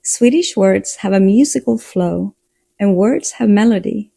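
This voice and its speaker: A woman narrating a language lesson in a slow, measured way. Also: mid-range voice, creaky, mellow, dry recording, clean studio sound.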